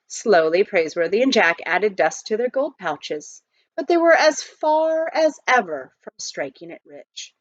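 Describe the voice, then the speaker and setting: Expressive woman reading a storybook, dull recording. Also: medium pitch, no room sound. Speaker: audiobook reader, teacher. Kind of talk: expressive storybook read-aloud.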